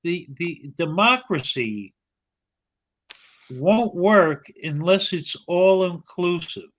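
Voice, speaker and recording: Halting American man, muffled audio. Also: high voice, animated, a bit bright. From United States. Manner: halting, repeats words, seeks confirmation. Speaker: interview guest, social advocate. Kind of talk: unscripted political discussion.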